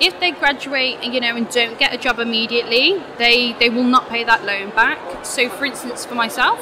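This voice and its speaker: A woman's voice: fairly high pitch, steady tone, fairly quick, noisy background. A young woman from the UK giving upbeat, reassuring interview answers as a university representative. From United Kingdom.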